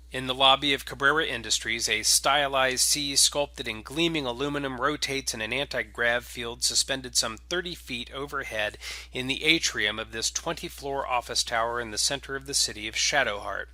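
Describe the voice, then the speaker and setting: A man reading scripted space opera fiction as a dramatic, deliberate narrator. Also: mid-range voice, sharp tone, dry recording.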